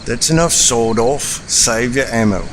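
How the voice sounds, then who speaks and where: A man's voice: mid-range voice, a little slow, a little hiss or hum, lightly acted. A man voicing a menacing, mocking pony slaver gang leader in an audiobook. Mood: commanding. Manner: mocking, taunting, commanding. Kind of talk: audiobook character voice.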